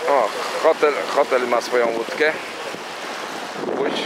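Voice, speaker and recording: A man's voice: medium pitch, fairly even intonation, slow pace. A man giving a casual, rambling running commentary as he films from a small boat on a city river. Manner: chatty, rambling with fillers and self-corrections. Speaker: amateur tour guide on a boat. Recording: loud wind and boat engine noise.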